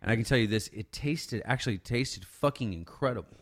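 A man's voice: mid-range voice, a little fast. A man in his thirties telling a long story in casual chat, rambling and swearing freely as a podcast co-host. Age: 30s.

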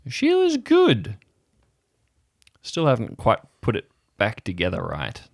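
A man's voice: medium pitch, wildly swooping pitch, takes it slowly, dry recording, studio quality, heavily acted. A man playing an evasive, dismissive former sailor in his thirties in an improvised audio drama. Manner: stubborn and dismissive.

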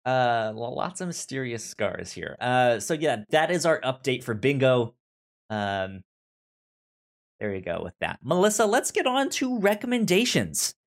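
A man co-hosting a casual film discussion podcast, talking in a halting, stammering way with repeated words and trailing phrases. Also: mid-range voice, big pitch swings. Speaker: podcast co-host.

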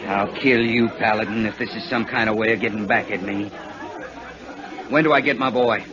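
A man's voice: lowish voice, steady tone, moderate pace, lightly acted. An actor playing an emotional, blunt frontier father in a 1950s radio western. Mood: angry. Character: gruff, hot-tempered frontier father who softens. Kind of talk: 1950s radio drama acting. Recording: muffled vintage radio recording, crowd murmur.

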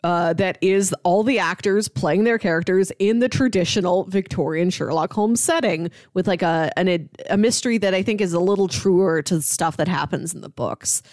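A woman's voice: lowish voice, moderate pace, hardly any pauses, a little mellow, dry recording, studio quality. A woman co-hosting a podcast, giving recommendations in a casual, conversational style. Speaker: podcast co-host.

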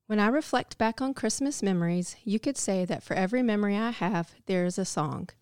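Woman, devotional speaker, smooth clear voice, reflective scripted narration, dry close sound. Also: mid-range voice, brisk, a little mellow, no room sound.

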